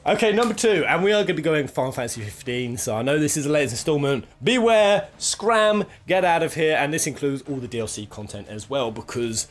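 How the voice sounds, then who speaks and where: A man's voice: fairly high pitch, lively intonation, fairly quick, hardly any pauses, a little hiss or hum. A British man giving casual, jokey top-ten video game commentary, rambling and thinking aloud as he goes. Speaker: video game countdown host.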